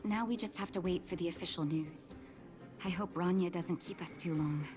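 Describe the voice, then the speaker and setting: A woman playing a gentle, composed young woman in a video game, with a kindly, measured delivery. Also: lowish voice, over music, natural voice. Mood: gentle, reassuring.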